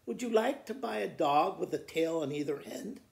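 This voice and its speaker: A man in his sixties with a gravelly voice, reading children's poems aloud like a school principal to students, in a living room with the camera a little way off. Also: a little high, lively intonation, moderate pace, darkish tone. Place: living room by a fireplace, indoors. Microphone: camera a short distance away. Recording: roomy indoor echo.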